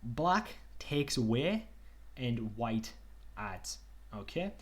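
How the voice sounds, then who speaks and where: A young man casually talking through a screen-share tutorial on a video call, relaxed and chatty. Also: medium pitch, very slow. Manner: relaxed, chatty, says "okie dokie" and "kind of". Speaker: online workshop tutor.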